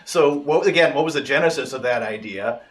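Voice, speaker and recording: A man's voice: medium pitch, fairly quick. A man hosting a casual interview, asking long, rambling questions with restarts. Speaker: host.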